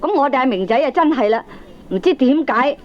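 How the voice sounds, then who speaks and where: An actress playing a sharp-tongued, indignant middle-aged wife and mother in a Cantonese comedy radio drama, with a Hong Kong accent and a nagging, scornful, combative manner. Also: high-pitched, animated, brisk. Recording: dull lo-fi old cassette tape.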